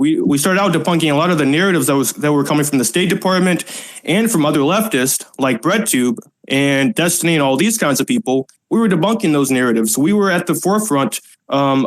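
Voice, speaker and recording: Loud guy arguing hard on a voice chat, pitched a bit high, keeps cutting in. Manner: loud, interrupting, combative. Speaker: political commentator debating callers. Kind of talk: heated online debate.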